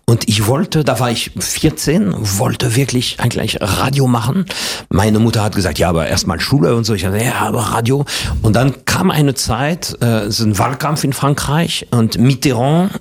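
A man in his fifties with a French accent, telling his life story as a guest in a relaxed, self-mocking chat. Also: medium pitch, moderate pace, gravelly, slightly rough. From France. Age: 50s. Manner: chatty, self-mocking, restarts often with fillers. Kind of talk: casual conversational storytelling.

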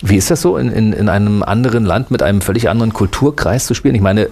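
A man hosting a late-night radio talk show, bantering and flattering his guest with a jokey manner. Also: fairly low pitch, fast talker, slight background noise.

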